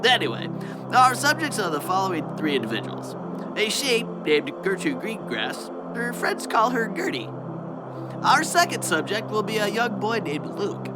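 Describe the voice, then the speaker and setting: A man's voice: high voice, wildly swooping pitch, moderate pace, loud music behind the voice, rough recording. A man playing an officious, self-important angel squad leader who talks formally and wordily in a family audio drama. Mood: businesslike, huffy. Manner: pompous, formal, wordy.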